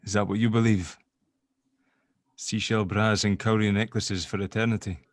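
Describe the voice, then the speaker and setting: A man's voice: fairly low pitch, flat delivery, normal speed, no echo, lightly acted. An actor playing a nervous, guilt-stricken man who stammers and swears in a dramatic script read-through. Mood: teasing.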